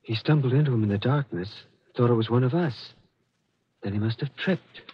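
A man's voice: medium pitch, brisk, breathy edge. A man playing a grave, somber character in a 1940s radio murder mystery, on a dull old recording. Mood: grave, solemn. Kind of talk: 1940s radio drama acting.

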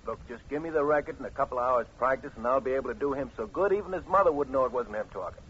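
An actor playing a cocky, eager small-time nightclub impressionist with brash, slangy patter, in an old radio drama. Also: male voice, mid-range voice, rapid-fire, fairly bright tone, lo-fi sound, slight background noise, close to an everyday voice. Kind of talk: 1950s radio drama acting.

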